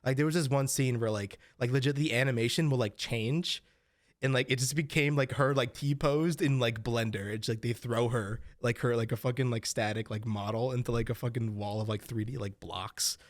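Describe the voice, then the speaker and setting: A man's voice: medium pitch, fairly even intonation, normal speed, dry recording, studio quality. A young man co-hosting a podcast, chatting casually with lots of fillers and swearing as he rambles. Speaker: podcast co-host. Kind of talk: casual chat.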